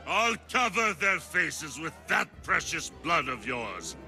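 An actor voicing a brutal, menacing crime boss in a video game, with a gravelly, snarling, threatening delivery. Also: male voice, on the high side, very animated, takes it slowly, pushed and bright, soft background music, heavily acted. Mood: menacing rage. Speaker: villain in an action game. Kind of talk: dramatic video game acting.

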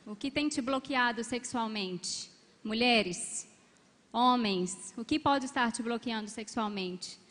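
High-voiced woman preaching in an arena. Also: takes it slowly, a bit bright. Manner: emphatic, calls "amen" and "hallelujah" to the crowd. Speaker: couple's coach. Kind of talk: testimony and exhortation at a church conference. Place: large arena stage with a crowd. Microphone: handheld mic. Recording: long reverb of a large hall.

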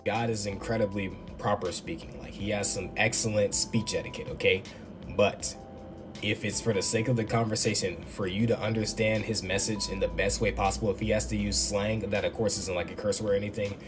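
A man with a lowish voice gives an upbeat faith talk at a rapid clip. He speaks into a phone held close in an indoor room, with music playing clearly behind him. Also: fairly even intonation. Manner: quick, enthusiastic, conversational. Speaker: Bible teacher. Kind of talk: casual devotional teaching. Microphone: phone camera up close. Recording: background music under voice.

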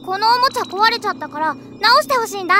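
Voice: extremely high pitch, animated, very fast, very noisy. An actor playing an innocent, eager little boy in a Japanese audio drama, speaking in an earnest childlike way. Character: eager, innocent little boy who admires his big brother figure. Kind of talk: Japanese anime-style audio drama acting.

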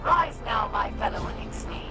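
An actress playing a vengeful female alien warlord in a video game, commanding and bitter, with theatrical, drawn-out menace. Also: medium pitch, animated, close to an everyday voice. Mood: vengeful, rallying. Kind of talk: video game villain acting. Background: loud cinematic music.

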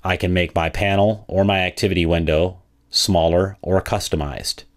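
A man narrating a product walkthrough as a software demo presenter, in a methodical, explanatory way. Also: sits low, steady tone, moderate pace.

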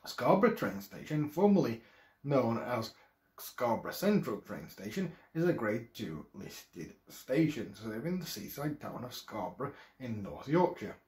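A man's voice: medium pitch, sing-song, a little mellow. Man reading a history script aloud, echoey room. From England. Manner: careful, halting reading with occasional restarts. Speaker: video presenter. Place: small room at home, indoors.

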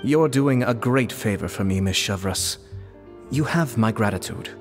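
An actor voicing a courteous, composed young nobleman in a video game, speaking in a formal, measured way. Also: on the low side, animated, big-room sound, faint music behind. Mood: polite, calm.

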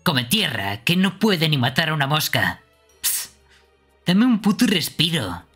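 A man's voice: fairly high pitch, very animated, a little slow, sharp tone, husky, soft background music, pitched above the performer's own voice, full character voice. A man voicing an irritable, foul-mouthed young male killer in an amateur game dub, aggressive and impatient. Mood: angry, exasperated. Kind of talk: amateur video game dubbing.

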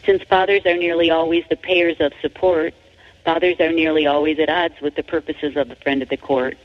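A woman's voice: sits low, level tone, a little fast. American woman reading aloud, dull lo-fi sound. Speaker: author reading own book. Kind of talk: scripted narration, read aloud. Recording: dull lo-fi radio-like audio.

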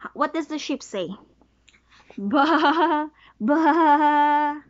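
A woman's voice: high voice, extremely slow. A woman in her thirties reading a picture book aloud to her small child in a sing-song way, prompting with questions and counting. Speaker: mother reading to her toddler. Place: small bedroom, sitting on a bed. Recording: dull lo-fi home recording.

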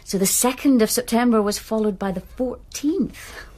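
An actress playing an enthusiastic friend who explains history in a radio drama, with a husky voice. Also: female voice, a little high, moderate pace, mellow, natural voice. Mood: eager. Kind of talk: BBC radio drama acting.

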